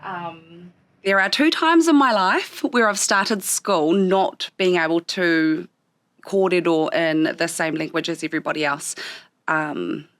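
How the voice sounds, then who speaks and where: A woman with a New Zealand accent and a slightly low voice, reflecting on her life as an interview guest. Her first language was Japanese. She speaks thoughtfully and stops often to search for words, and the studio recording is dry and close. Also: a bit bright, no room sound. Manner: thoughtful, pausing often with ums.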